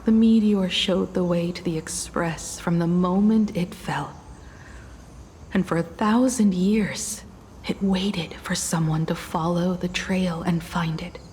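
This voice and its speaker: An actress playing a solemn, defiant woman in a video game, speaking in a measured, grave, accusing way. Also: lowish voice, steady tone, a little mellow, big-room sound, slight background noise. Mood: solemn, resolute.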